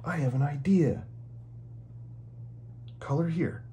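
A man's voice: on the high side, takes it slowly, warm tone, a little hiss or hum, voice pushed up. A man voicing a helpful, inventive pencil in a children's book, bouncy and encouraging. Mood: upbeat, encouraging.

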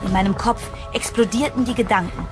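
A woman's voice: medium pitch, brisk, lightly acted. A woman narrating a German horror radio drama in the first person, as a tense, bewildered storyteller. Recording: music under the narration.

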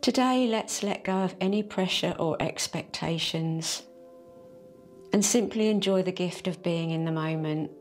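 A woman leading a guided meditation in a soft, soothing, unhurried way. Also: lowish voice. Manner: slow, soft and soothing, drawn-out phrases. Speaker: meditation teacher. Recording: faint music behind the voice.

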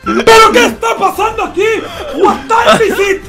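A man with an accent from Spain, playing a game and chatting in an excitable, clownish, repetitive way. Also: very high-pitched, unhurried, gravelly, loud music behind the voice. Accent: Spanish, from Spain. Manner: excitable, clownish, repeats phrases. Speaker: gaming co-player. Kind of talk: casual chat while playing a game.

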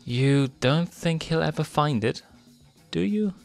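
Spunky young lioness cub, high-pitched; male reader putting her on. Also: animated, takes it slowly, gravelly, darkish tone, soft background music, full character voice.

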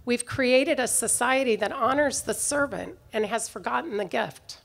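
A middle-aged woman giving a prepared commencement speech at an outdoor podium microphone, in a measured, reflective way. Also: fairly high pitch, creaky. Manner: measured, reflective delivery reading from notes. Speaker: commencement speaker. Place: outdoor ceremony stage.

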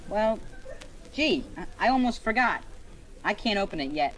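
A woman's voice: medium pitch, expressive, normal speed, a bit bright, a little hiss or hum, close to an everyday voice, rough recording. A woman playing a gawky, eager teenage schoolboy in old-time radio comedy style, hesitant and earnest. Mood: eager, awkward. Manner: hesitant, gee-whiz earnest.

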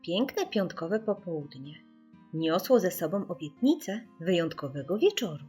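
A woman reading a children's audiobook as an expressive storyteller, putting dramatic emphasis on key words. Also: lowish voice, moderate pace, soft background music.

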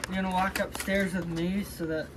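A man's voice: sits high, flat delivery, moderate pace, a crowd in the background. A young man chatting casually and unscripted as he co-hosts a home gadget review. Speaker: co-host of gadget review vlog. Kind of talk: casual unscripted chat while unboxing. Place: small carpeted room in a house. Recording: noisy handheld home recording.

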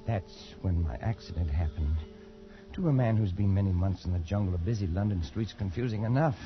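A man playing a haunted, unravelling colonial adventurer telling his tale with intense, halting pauses in a post-war radio drama. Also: sits low, animated. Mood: agitated. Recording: dull vintage radio with loud music behind.

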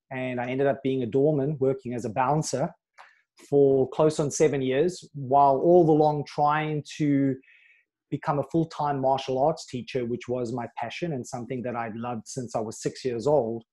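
A middle-aged man from South Africa telling his life story as a podcast guest, earnest and steady, with pauses and fillers, on a video call. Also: medium pitch. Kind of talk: candid life story in a conversation. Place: small room with a plain wall. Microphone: wired earbud mic on a video call.

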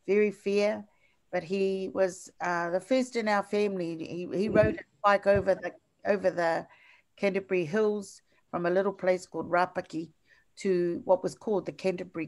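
An older woman with a New Zealand accent giving a lecture over slides on a video call, halting, with many fillers and restarts. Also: lowish voice, darkish tone.

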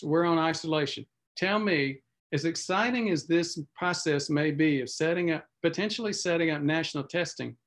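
Older man, relaxed doctor's video chat. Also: on the high side. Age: 60s. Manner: conversational, self-deprecating, reads comments aloud. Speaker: physician host. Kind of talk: casual video update answering viewer comments. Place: home office on video call. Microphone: video call webcam mic.

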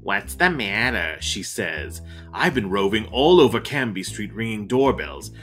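A man plays a muddled, tipsy male sidekick in a radio mystery. He rambles and complains theatrically, his pitch swinging up and down. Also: sing-song, measured pace, fairly bright tone, soft background music. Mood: indignant. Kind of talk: old-time detective radio drama.

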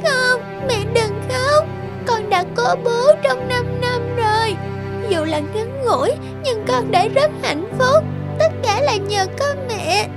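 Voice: very high-pitched, expressive, slow, heavily acted. A performer voicing a distressed young girl pleading about her family in a cartoon dub. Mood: tender. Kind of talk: cartoon dubbing. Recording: loud children's music behind the voice.

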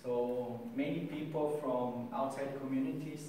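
Young man, meetup talk, halting delivery, distant echoey room. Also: medium pitch, steady tone, warm tone, a little hiss or hum. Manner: hesitant, many ums and restarts. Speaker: meetup speaker. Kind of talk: presentation and Q&A. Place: small room with wall art and screen. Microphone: far camera, no mic.

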